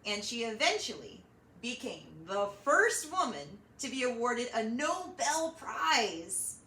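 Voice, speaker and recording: A woman hosting a children's storytime, reading and chatting in an animated, encouraging way straight to young listeners. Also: on the high side, slow. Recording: roomy echo, slight background noise.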